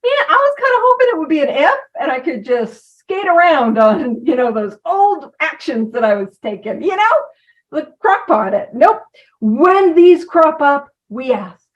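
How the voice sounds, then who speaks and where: A woman's voice: high-pitched, big pitch swings, a little slow, distant mic, highs cut off. A woman giving an informal talk about recovery, chatty and self-deprecating, who drifts from point to point. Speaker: recovery program speaker.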